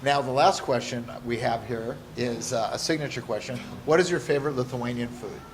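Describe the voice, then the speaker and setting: A man's voice: medium pitch, brisk, a little hiss or hum, poor audio. A man hosting an interview, asking short upbeat questions and closing the segment. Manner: brief, upbeat prompts.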